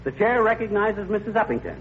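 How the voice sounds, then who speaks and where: Pompous blustering fire commissioner, muffled old radio. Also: male voice, high voice, big pitch swings, brisk. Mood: officious, sarcastic. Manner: pompous speechifying with mangled clichés. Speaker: comedy lead addressing a meeting. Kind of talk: 1940s radio comedy acting. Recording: muffled vintage radio, faint noise.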